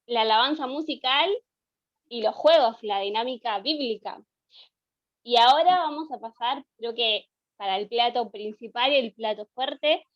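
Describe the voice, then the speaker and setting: High-voiced Argentine woman on a phone. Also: normal speed, no echo, highs cut off. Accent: Argentine Spanish. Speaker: co-host. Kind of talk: livestream hosting.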